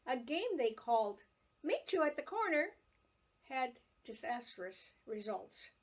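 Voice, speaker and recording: A woman reads her own childhood memoir aloud at a slow, careful pace. Her voice sits a little high, and she lets each scene unfold with expressive storytelling. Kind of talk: reading a family memoir aloud.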